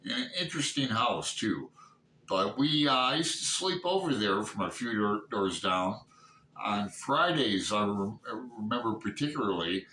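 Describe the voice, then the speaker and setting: A middle-aged or older man with a Midwestern American accent, chatting casually to viewers in a halting, digressive, self-questioning way. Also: lowish voice, bright, forceful tone. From Toledo, Ohio, United States. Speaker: book vlogger hosting his channel. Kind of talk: casual rambling chat to viewers. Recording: echoey room, clear background noise.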